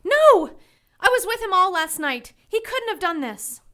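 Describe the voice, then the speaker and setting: A woman's voice: very high-pitched, big pitch swings, measured pace, fairly bright tone, heavily acted. An actress playing a loyal, indignant 1940s female client in a dramatic noir radio play.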